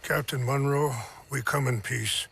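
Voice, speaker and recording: Gravelly, dignified old chief. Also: male voice, mid-range voice, fairly even intonation, a bit bright, heavily acted. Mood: conciliatory. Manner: measured, conciliatory. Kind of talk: cinematic game acting.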